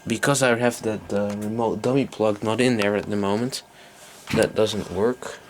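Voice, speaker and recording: A man's voice: on the low side, fairly even intonation, moderate pace, slight background noise. A man giving a casual, unscripted hands-on demonstration as an electronics hobbyist, hesitant and thinking aloud as he goes. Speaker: electronics hobbyist presenting a tape recorder.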